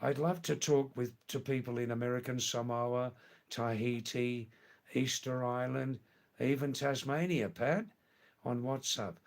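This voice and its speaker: An older man hosting a live stream, casually chatting with viewers and answering their comments in a slow, rambling way that repeats words. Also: mid-range voice, steady tone, vocal fry.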